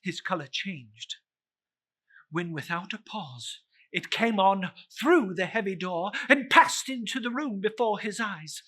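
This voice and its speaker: A woman narrating a scripted story as a dramatic reader, with a theatrical, deliberate delivery. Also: medium pitch, animated, a bit bright.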